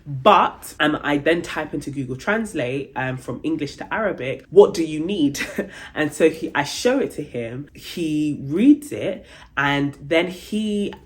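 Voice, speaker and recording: A young adult woman vlogging about her travels, telling a story casually and acting out the dialogue with big jumps in pitch. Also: lively intonation, measured pace. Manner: chatty, many fillers like "like" and "um".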